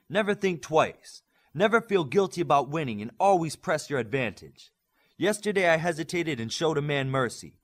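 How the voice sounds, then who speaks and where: Guy doing fierce proud warrior superhero leader, raised pitch, loud impassioned audiobook speech. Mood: proud, solemn. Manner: forceful, impassioned oratory. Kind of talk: audiobook character acting.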